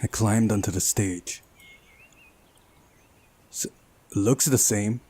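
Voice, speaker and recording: A man's voice: lowish voice, takes it slowly, warm tone, husky. A man hosting a visual novel playthrough, reading the game's narration aloud and adding casual commentary.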